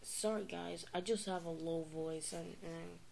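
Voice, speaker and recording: A woman's voice: lowish voice, fairly even intonation, unhurried, gravelly, a little mellow, slight background noise. A woman talking casually to viewers of her toy video, rambling and hesitant, recorded on a phone camera in a carpeted room. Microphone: phone camera near the floor.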